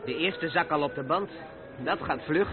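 An actor playing an eager, curious and anxious young lorry driver's mate in a radio drama. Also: male voice, on the high side, normal speed, close to an everyday voice. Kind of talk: Dutch radio drama acting. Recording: muffled narrow-band old radio play, noisy.

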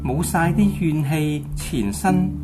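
A woman playing a solemn Taoist master in a radio drama, lecturing with measured authority. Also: low-pitched, over music. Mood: knowing. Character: solemn, knowing Taoist master giving ritual advice. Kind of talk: Cantonese radio drama acting.

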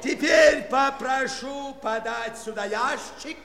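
An actor playing a pompous, excitable circus magician in a children's audio play, announcing grandly and drawing out his words. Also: very high voice, slow pace, vocal fry, heavily acted. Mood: showy, theatrical. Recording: echoey hall sound, faint crowd behind.

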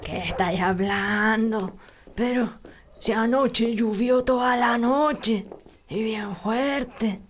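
A woman's voice: fairly high pitch, slow, slight background noise. A woman playing a bossy, mysterious old country healer in a radio drama, scolding in a rural Chilean accent. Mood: brisk, familiar. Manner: scolding, colloquial, sometimes hushed.

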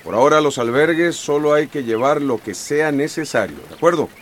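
An actor playing a male town mayor with a Costa Rican accent, insistently pleading for calm at a meeting in a radio drama. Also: fairly high pitch, fairly quick, a little hiss or hum, natural voice. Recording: echoey hall, voice from far off.

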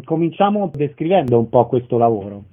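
A man's voice: mid-range voice, sing-song, brisk. A man hosting radio interviews and putting questions to guests. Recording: narrow-band tinny radio sound.

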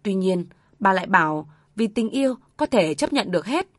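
A woman voicing an elderly father and former soldier who speaks calmly with heavy sorrow. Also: mid-range voice, dry recording, studio quality, lightly acted. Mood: sorrowful. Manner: calm, heavy, measured.

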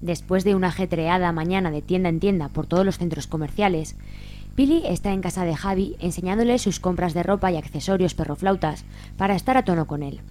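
Wry, mellow woman narrator. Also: fairly low pitch, brisk, a little hiss or hum. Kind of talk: scripted narration.

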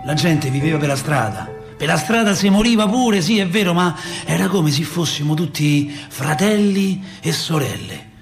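A man's voice: fairly high pitch, expressive, moderate pace, creaky, few pauses, music in the background. An actor playing a working-class Roman father in a radio play, telling his memories in a colloquial, repetitive, emphatic way with a Roman accent. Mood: wistful. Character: working-class Roman father recalling 1970s street politics, wistful, impassioned. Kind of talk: radio theatre monologue.

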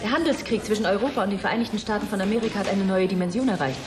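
An actress playing a brisk, businesslike news editor in a German radio drama. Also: female voice, medium pitch, natural voice. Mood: brisk, curt. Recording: busy crowd noise behind voice. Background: busy crowd noise.

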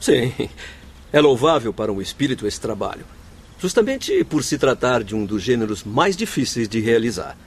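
A man's voice: mid-range voice, very animated, normal speed, a little hiss or hum. An actor in a radio drama playing a kindly, humble male doctor, courteous, formal and reassuring. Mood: humble, encouraging.

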